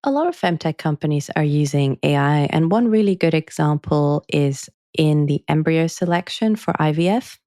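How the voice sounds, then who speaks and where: A woman writer answering interview questions in an articulate, measured way, turning wry when she tells anecdotes. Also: sits low, animated, mellow, dry recording, clean studio sound. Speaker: author and journalist guest.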